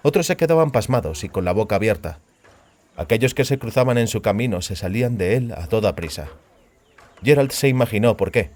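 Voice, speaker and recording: A man's voice: medium pitch, darkish tone. A man reading scripted literary narration for a fantasy audiobook as its narrator.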